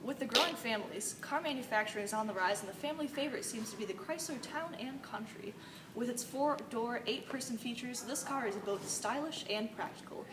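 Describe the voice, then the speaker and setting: A woman's voice: a little high, fairly quick, noisy background. A woman anchoring a newscast, reading scripted news reports as a narrator.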